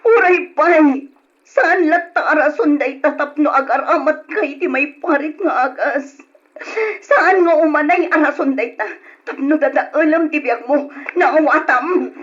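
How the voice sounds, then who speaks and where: A woman's voice: high voice, a little slow. An actress in an Ilocano radio drama playing a distraught, pleading mother with a tearful delivery. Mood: tearful protest. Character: distraught, pleading, prayerful mother of a troubled daughter. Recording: dull radio drama recording over music.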